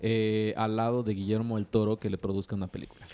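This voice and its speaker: A man's voice: lowish voice, little pitch movement, fast, mellow. A man co-hosting a podcast in casual chat. He explains things in a laid-back way.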